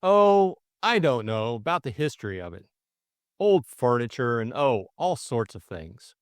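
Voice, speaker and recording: A man voicing a vague, hesitant young gentleman who is smitten and admiring, in an audiobook. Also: mid-range voice, very animated, a little slow, smooth, no echo.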